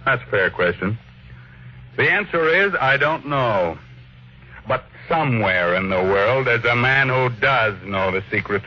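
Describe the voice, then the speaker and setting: An actor playing a cold, imperious millionaire who gives brusque orders in an old-time radio drama. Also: male voice, medium pitch, lively intonation, measured pace. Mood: determined. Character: domineering, cold millionaire tycoon barking orders. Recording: dull vintage radio recording.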